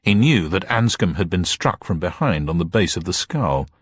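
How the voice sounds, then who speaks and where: A man with a very low, husky voice reads a detective story aloud. His delivery is animated and dramatic, swinging widely in pitch, in a dry, close sound. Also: normal speed, dry recording. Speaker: narrator and reader of a detective story. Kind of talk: dramatic scripted story narration.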